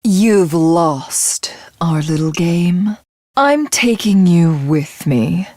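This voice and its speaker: A woman playing a grandiose, battle-crazed villainess who thinks herself a god, in theatrical, imperious anime game voice acting with a breathy voice that slips toward whispers. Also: lowish voice, lively intonation, very slow, mellow, dry recording, studio quality, heavily acted. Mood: menacing calm. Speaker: video game voice actress. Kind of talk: dramatic anime game character voice lines.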